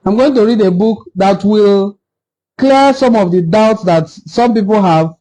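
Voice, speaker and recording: Assertive Nigerian man with a high-pitched voice. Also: measured pace, dark tone, low-quality recording. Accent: Nigerian Igbo-language. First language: Igbo. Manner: assertive, argumentative, emphatic repetition. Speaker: video host on history. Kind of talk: impassioned informal history talk to camera.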